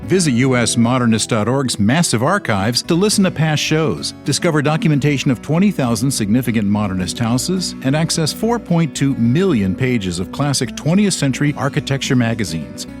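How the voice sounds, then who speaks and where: A man co-hosting a radio show, reading the scripted closing credits smoothly. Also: mid-range voice, animated, normal speed, hardly any pauses, faint music behind. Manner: reads promotional credits smoothly. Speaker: radio co-host.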